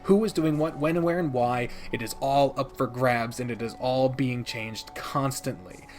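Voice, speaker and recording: A man's voice: mid-range voice, fairly even intonation, unhurried, faint music behind. A man giving opinionated, conversational review commentary as a video creator, speaking emphatically with dramatic build-ups and casual swearing. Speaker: video creator ranking favorite games.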